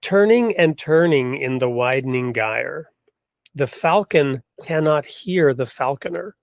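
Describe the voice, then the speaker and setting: A man hosting a discussion, speaking in a deliberate, reflective way with emphatic phrasing as he talks and recites. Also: fairly high pitch, expressive, creaky, no echo, studio quality. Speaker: host. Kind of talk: conversational hosting with poetry reading.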